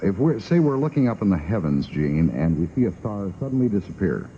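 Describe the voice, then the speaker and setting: A man's voice: on the low side, very animated, a little fast. A man hosting a 1960s radio talk program, on an old aircheck recording. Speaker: radio talk show host. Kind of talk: 1960s radio interview and announcing. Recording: dull, faintly noisy 1960s radio recording.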